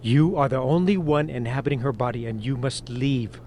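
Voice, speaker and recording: An actor playing a stern exorcist priest in a horror radio drama, solemn and commanding. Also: male voice, medium pitch, normal speed, a little mellow, a little hiss or hum, lightly acted.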